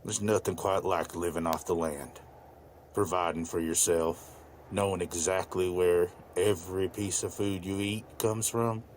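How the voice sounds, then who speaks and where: A man playing a weary, plainspoken older widowed farmer in a motion comic, talking in a measured way. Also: sits low, fairly even intonation, a little mellow, slight background noise. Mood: calm, hospitable.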